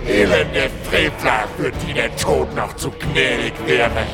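Slow, gravelly fanatical temple guard. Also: male voice, medium pitch, lively intonation, loud music behind the voice. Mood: scornful fury. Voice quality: distorted, gravelly. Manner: menacing, imperious, archaic declamation. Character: transformed hostile temple guard, fanatical cult zealot. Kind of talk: fantasy audio drama acting.

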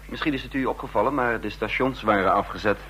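Suave scheming spy in a radio play, lowish voice, hissy old hummy recording. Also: male voice, fast talker, pushed and bright. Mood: suave, ironic. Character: cool, cunning male secret agent, smooth-talking and mercenary. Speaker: lead actor and narrator. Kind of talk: Dutch radio drama acting. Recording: noisy vintage radio recording with hum.

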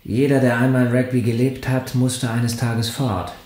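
An actor playing an irritable, embittered young husband back from war, speaking tersely in a radio drama. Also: male voice, medium pitch, level tone, moderate pace, a little mellow, reverberant, close to an everyday voice. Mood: bitter, resigned. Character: embittered paralysed war veteran husband around thirty, irritable, tense.

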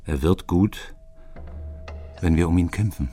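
An actor playing an imposing secret-police boss in a radio drama, speaking with measured, persuasive authority. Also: male voice, sits low, dark tone. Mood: calm, persuasive. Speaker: senior secret police officer.

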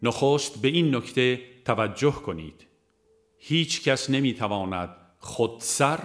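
A man reading a religious audiobook in a measured, formal and deliberate scripted narration. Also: medium pitch, fairly bright tone. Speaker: audiobook reader.

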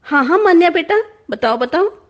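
A woman voicing a lively female primary school teacher, animated and encouraging, in a storybook reading. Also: very high voice, moderate pace, pitched above the performer's own voice. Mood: excited, encouraging. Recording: dull lo-fi recording.

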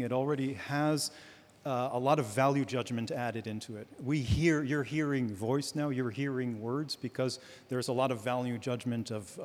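Hebrew-native guy on a panel, thinking out loud, echo of a big hall. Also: medium pitch. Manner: searching, academic, restarts often. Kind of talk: reflective panel discussion. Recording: long hall reverb.

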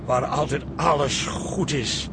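A high-pitched, sarcastic man rants resentfully in a radio drama, full of scorn for country life. There is clear noise behind him, like a moving vehicle. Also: steady tone, slow pace. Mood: stubborn, scornful. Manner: ranting, sarcastic.

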